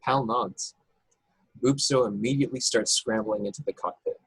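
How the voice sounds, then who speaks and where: A man's voice: medium pitch, normal speed, fairly bright tone, breathy edge, dry recording, low-quality recording. A man speaking as narrator and organizer of an informal table read over a video call. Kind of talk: scripted narration and casual chat.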